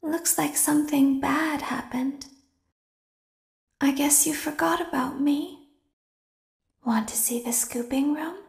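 High, creaky clown robot girl. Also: steady tone, slow pace, long pauses, exaggerated voice. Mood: sly resentment. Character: creepy girlish clown animatronic, resentful and sly. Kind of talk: horror game villain voice lines. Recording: echoing, quiet.

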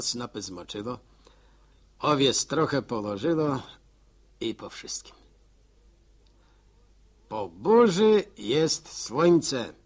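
Old-radio village postman, slow drawl, slightly husky, wanders up and down while musing. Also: medium pitch. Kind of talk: rural storyteller monologue in a radio drama.

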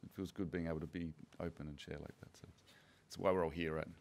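A man moderating a conference panel, putting measured questions to the panelists while standing with a handheld microphone. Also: sits low, fairly even intonation, creaky. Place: conference room stage.

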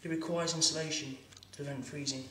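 A man's voice: a little high, fairly even intonation, fast talker, fairly bright tone, recorded from a distance. Man teaching plumbing, echoey room. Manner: practical, instructive, stumbling restarts. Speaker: plumbing instructor. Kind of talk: hands-on demonstration lesson. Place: training workshop room. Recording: echoey room, voice a bit off-mic.

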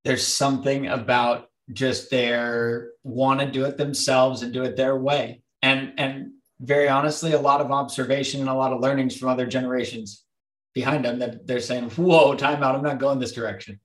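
A man's voice: mid-range voice, little pitch movement, moderate pace, fairly bright tone, rough recording. A middle-aged man hosting a podcast interview over video call, upbeat and encouraging. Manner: encouraging, upbeat, frequent affirmations. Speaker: podcast host. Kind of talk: interview host. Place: home office, small room.

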